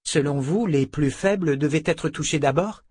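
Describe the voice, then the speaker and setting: A man's voice: a little high, normal speed, darkish tone, husky, voice pushed up. A man reading the part of a proud, determined young woman inquisitor in a fantasy audiobook. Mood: puzzled.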